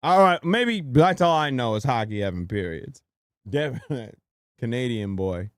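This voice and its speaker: A man's voice: mid-range voice, animated, normal speed, dry recording. A male streamer talks over a video in loose, crude banter, joking and often laughing. His voice sits in an ordinary middle range. The sound is dry and close, with no room around it. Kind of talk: casual reaction chat.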